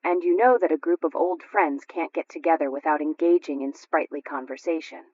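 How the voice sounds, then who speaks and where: A woman's voice: sits low, fairly quick, a little mellow, dry recording. A woman hosting a scripted old-time homemaking radio chat, telling stories in a precise way. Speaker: radio homemaking show host. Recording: dull lo-fi radio recording.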